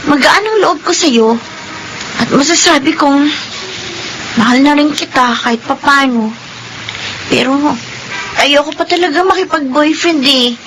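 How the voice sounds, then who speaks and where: A weary, deferential maid in a radio drama. She has a fairly high voice and a plaintive, hesitant manner, with faint noise behind her. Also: a little slow, long pauses. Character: meek, overworked, hesitant female housemaid. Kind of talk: Filipino radio drama acting.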